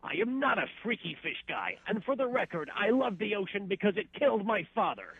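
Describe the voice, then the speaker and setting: A man's voice: sits high, big pitch swings, a little fast, breathy edge, dry recording, highs cut off, heavily acted. A man voicing a defiant, sea-obsessed fisherman from a cartoon parody.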